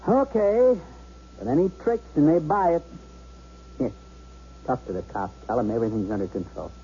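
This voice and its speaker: A man's voice: mid-range voice, lively intonation, moderate pace, dark tone, husky. An actor playing a cocky, volatile bank robber in a radio drama, with sarcastic, bossy, slangy tough-guy talk. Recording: dull, noisy 1970s radio broadcast.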